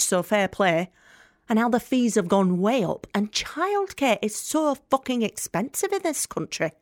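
A woman's voice: mid-range voice, no room sound, clean studio sound, natural voice. An actress playing a tough, guarded working woman from Leeds with a Yorkshire accent, blunt, wry and confiding in an audio drama monologue. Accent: Northern English, Yorkshire.

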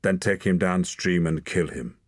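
A man reading an audiobook, voicing a stern clan leader who speaks slowly and quietly. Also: low-pitched, no echo, clean studio sound. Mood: cold. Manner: slow, measured, quiet and flat. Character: stern, cold male clan leader, unmoved.

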